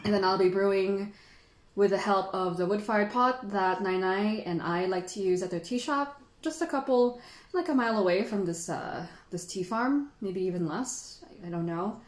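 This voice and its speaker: A woman's voice: mid-range voice, normal speed, echoey room. A woman hosting a casual livestream, chatting in a sentimental, giggly, informal way. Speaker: livestream host and tea teacher.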